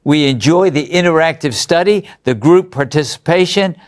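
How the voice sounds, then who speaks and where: A man's voice: fairly high pitch, moderate pace. An English man hosting a Bible study program, reading out viewer letters in an upbeat way and chuckling between remarks. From England. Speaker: host.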